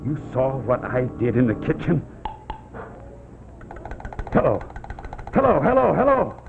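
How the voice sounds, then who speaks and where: An actor playing a sullen, worn-down middle-aged husband with terse lines in a 1950s television suspense drama. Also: male voice, medium pitch, wildly swooping pitch, a little slow, creaky, noisy background, heavily acted. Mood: alarmed, urgent. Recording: tinny old TV soundtrack, loud music.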